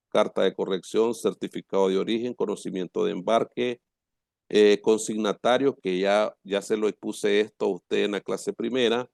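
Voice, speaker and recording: Low, creaky-voiced Nicaraguan man lecturing. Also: a little mellow, no room sound, clean studio sound. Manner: halting, repeats words, frequent check-in questions to students. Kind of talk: informal class lecture, explaining and reading out terms.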